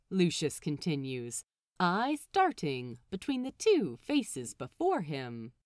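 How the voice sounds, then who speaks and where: Female narrator for comic fiction: highly animated, unhurried pace, dry close recording. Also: no echo. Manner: animated, dramatic delivery. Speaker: podfic narrator. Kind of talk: expressive storybook narration of comic fan fiction.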